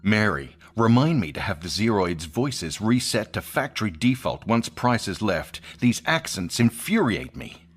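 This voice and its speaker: A man's voice: fairly low pitch, sing-song, unhurried, hardly any pauses. A man playing a fussy, eccentric space commander with a pompous manner in a sci-fi audio drama. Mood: brisk, irritated.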